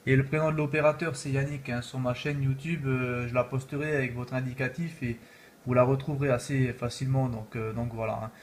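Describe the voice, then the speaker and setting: An informal man from France hosts a casual radio contact. He repeats himself in loose operator jargon at a middling pitch. A light noise sits behind his voice. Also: fairly even intonation, brisk. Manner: repetitive, filler-heavy, informal.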